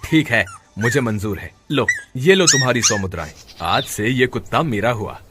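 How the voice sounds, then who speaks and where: A man's voice: mid-range voice, animated, normal speed, close to an everyday voice. A man voicing an inquisitive, businesslike wealthy merchant in a children's cartoon story. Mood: satisfied. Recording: noisy background.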